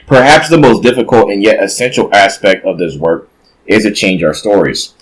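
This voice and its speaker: A man with a fairly low voice reads a nonfiction book aloud at a measured pace. Now and then he stumbles on a word and goes back to fix it. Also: low-quality recording. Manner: measured reading with occasional self-corrections. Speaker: streamer reading a book aloud.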